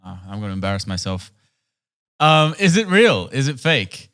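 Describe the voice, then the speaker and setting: A young man in his twenties chats with his housemate on a home podcast. He sits close to a boom mic indoors and tosses in quick reactions and short jokes. His manner stays easygoing. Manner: relaxed, reacts with short quips and exclamations. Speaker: podcast co-host. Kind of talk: casual chat. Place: home room with shelves, indoors. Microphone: boom studio mic close up.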